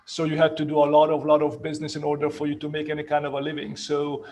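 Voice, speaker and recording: A man in his fifties with a Hungarian accent, pitched a little above most men. He talks in a loose, chatty way, pokes fun at himself and keeps tagging "right?" onto his points. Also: completely flat delivery, fast talker, darkish tone, slight background noise. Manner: chatty, self-mocking, leans on "right?" tags. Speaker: real estate agent on a panel. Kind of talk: casual panel storytelling.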